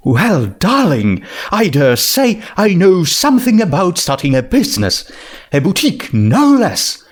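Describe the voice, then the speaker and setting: A man's voice: high voice, huge pitch swings, unhurried, pitched above the performer's own voice, exaggerated voice. A man voicing an elegant, self-assured female cartoon pony dressmaker with a posh, dramatic manner, in a story reading. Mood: excited, scheming. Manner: posh, dramatic, calls people darling.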